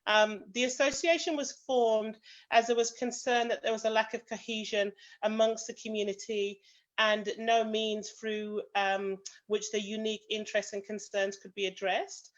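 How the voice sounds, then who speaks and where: A woman's voice: medium pitch, little pitch movement, normal speed. A woman with a British accent introducing her organisation as its president in a semi-formal online meeting. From British of Jamaican family. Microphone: video call. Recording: dull lo-fi video call audio.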